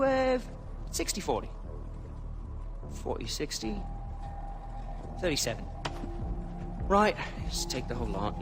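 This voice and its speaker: An actor voicing a cheeky, excitable British stoner sidekick in a video game, chatty and dramatic. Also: male voice, on the high side. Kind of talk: comic video game dialogue. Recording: music behind the voice.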